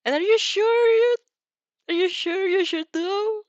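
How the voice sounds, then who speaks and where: A woman's voice: extremely high pitch, measured pace, darkish tone, dry recording, dull audio, voice pushed up. A woman voicing a cautious, nagging inner voice of logic that questions and warns in a story. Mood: doubtful, warning.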